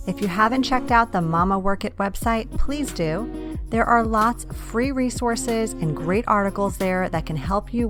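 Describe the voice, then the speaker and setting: A woman hosting an interview podcast, encouraging and affirming toward her guest and her listeners. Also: mid-range voice. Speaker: podcast host.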